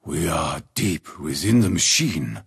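Video game combat role: male soldier, extremely husky with heavy gravel. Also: a bass voice, lively intonation, slow pace, heavily acted. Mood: grim, urgent. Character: male soldier fighting giant war machines. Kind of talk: scripted video game character lines.

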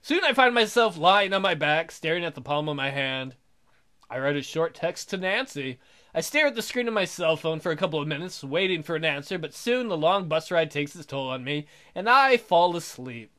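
A man's voice: fairly high pitch, animated, moderate pace, bright, forceful tone. A man doing a let's play, reading visual novel narration aloud. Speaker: let's player.

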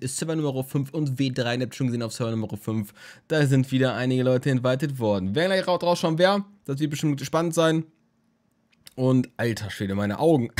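Tired young man, rambling game commentary. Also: mid-range voice. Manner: rambling, jokey, crude humor. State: tired, just woke from a nap. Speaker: let's play host.

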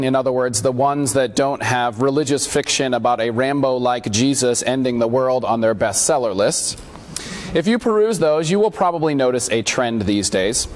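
A man in his thirties, a pastor with a scratchy voice, preaching and reading scripture in a measured, deliberate way. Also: medium pitch. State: scratchy voice from allergies. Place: church sanctuary at a pulpit. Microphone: lapel mic.

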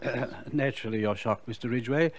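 An actor playing a brisk, discreet doctor in a vintage radio drama, formal and clipped. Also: male voice, mid-range voice, close to an everyday voice. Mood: professional, confidential. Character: brisk, discreet English heart specialist, professionally reassuring. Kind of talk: 1940s radio drama acting.

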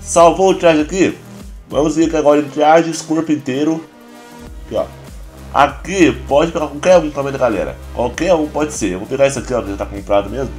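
Hoarse Brazilian man with stuffy nose. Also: on the high side, a little fast, faint music behind. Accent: Brazilian Portuguese. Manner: relaxed, chatty, constant filler address to viewers. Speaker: gaming YouTuber. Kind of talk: casual gameplay tutorial.

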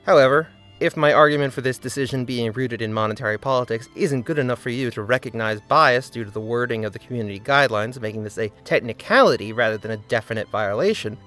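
A man's voice: mid-range voice, a bit bright. A man narrating a scripted video essay, with earnest, critical commentary.

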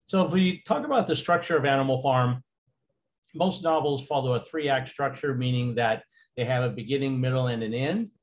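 A man's voice: medium pitch, normal speed. Man lecturing over slides with fillers. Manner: relaxed, with frequent fillers. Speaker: teacher.

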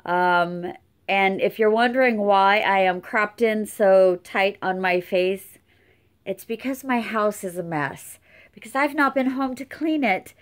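A middle-aged woman giving a casual update from home, tired and a little hoarse, chatting with many fillers. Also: medium pitch. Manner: chatty, unhurried, lots of fillers. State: tired, losing her voice. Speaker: channel host. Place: cluttered room at home. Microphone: webcam close up.

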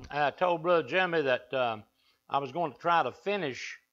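A man's voice: on the high side, very animated, a little slow, bright, forceful tone. An older man with an Appalachian accent, preaching a folksy sermon to his congregation in a wood-panelled church. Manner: down-home, talks to the congregation and asks them back. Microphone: lectern mic from a far camera. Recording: dull lo-fi church recording.

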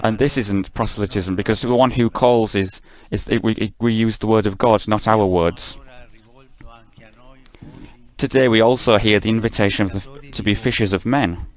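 A man giving a live spoken translation of a homily, measured, with frequent stumbles and self-corrections. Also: on the low side.

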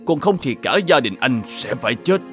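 A man voicing a mysterious old Taoist sage in an animated fairy tale, courteous and formal in manner. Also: medium pitch, moderate pace, lightly acted. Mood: grave warning. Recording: music behind the voice.

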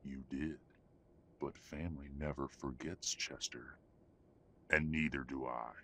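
A man playing a haughty, middle-aged werewolf patriarch in an audio drama, speaking in a formal, measured, condescending way. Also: very deep voice, fairly even intonation, a bit bright, exaggerated voice. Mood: cold, smug. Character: haughty, domineering old-money werewolf patriarch father. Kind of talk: audio roleplay drama acting.

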